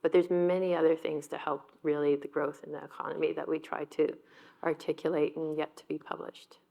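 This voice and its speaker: A woman speaking as a panelist at a business event, talking in a brisk, informed way. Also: sits low, flat delivery, creaky, dark tone. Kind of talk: panel discussion.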